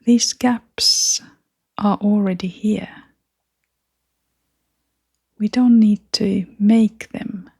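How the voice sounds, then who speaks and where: Female meditation guide. Hushed, airy delivery at a very unhurried pace, gentle and calming, with generous pauses. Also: mid-range voice, no echo, clean studio sound. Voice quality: soft, breathy, often near-whispering. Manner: gentle, soothing, with long pauses.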